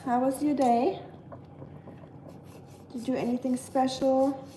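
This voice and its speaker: A young German woman chats with her stream while cooking, her voice a touch higher than most. She rambles in a friendly way with plenty of fillers. The kitchen is echoey, with pots and pans clattering behind her. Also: level tone, slow pace, noisy background. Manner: chatty and friendly, lots of fillers, talks while working. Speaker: vlogger livestreaming. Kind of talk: casual chat reading live comments while cooking.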